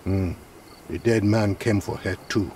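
An actor playing a protective, middle-aged refugee man in a game, grave, wary and measured. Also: fairly low pitch, fairly even intonation, warm tone, natural voice. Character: guarded, protective male refugee leader. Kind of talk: dramatic game cutscene dialogue. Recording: faint night insect noise behind.

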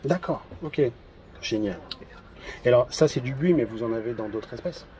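A man in his thirties presenting trophies outdoors in a garden, in a relaxed, hesitant casual chat with many fillers. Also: medium pitch, moderate pace. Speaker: event organizer presenting trophies.

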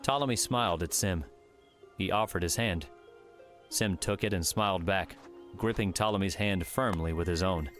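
A man's voice: low-pitched, moderate pace, fairly bright tone, faint music behind. A man reading scripted audiobook narration.

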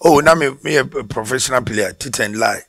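A middle-aged Ghanaian man with a Ghanaian accent, a former footballer telling his story in a relaxed way, with restarts, in a casual outdoor interview on a headset mic. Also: medium pitch, brisk, dry recording, studio quality. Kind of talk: casual interview in Twi mixed with English. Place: outdoor porch by brick wall.

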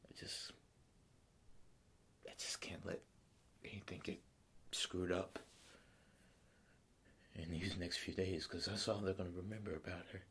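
Grieving ex-convict father, played by a young man: haunted and bitter, very low gravelly voice, halting dramatic monologue delivery. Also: steady tone, full character voice. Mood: numb, hushed grief. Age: teenager playing older. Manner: halting, hushed delivery that strains into bursts.

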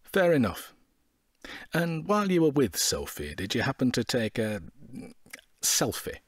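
A brisk, probing male detective inspector in an audio drama. His husky voice moves up and down a lot as he questions with dry scepticism. The sound is close and dry. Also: mid-range voice, huge pitch swings, dry recording, exaggerated voice. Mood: sceptical.

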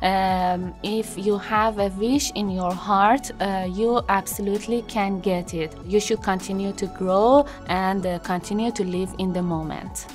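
A woman in her thirties from Iran whose first language is Persian speaks slowly, with a mid-range pitch and frequent hesitant fillers. She introduces herself to camera, seated in a studio. Faint music plays behind her. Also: steady tone. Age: 30s. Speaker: job seeker introducing herself. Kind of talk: unscripted self-introduction to camera. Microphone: seated, medium shot.

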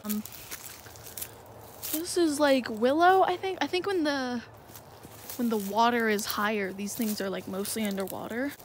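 A woman's voice: a little high, unhurried, long pauses. Young woman rambling on a night walk. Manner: rambling, hesitant, lots of fillers. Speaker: vlogger. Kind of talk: handheld night walk vlog. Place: outdoors in a dark wooded marsh at night. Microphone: phone held in hand. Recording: noisy outdoor phone recording.

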